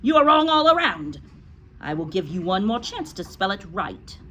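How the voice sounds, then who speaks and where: A woman's voice: lowish voice, lively intonation, normal speed, close to an everyday voice. A woman voicing a cruel, domineering female school principal who barks insults as she reads a children's storybook. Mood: scornful. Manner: barking, insulting.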